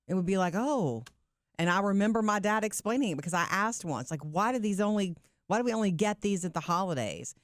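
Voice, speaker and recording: Warm, reflective woman podcast co-host. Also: mid-range voice, expressive, brisk, no room sound, clean studio sound. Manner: warm, reflective, laughs easily. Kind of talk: casual chat, reading listener letters aloud.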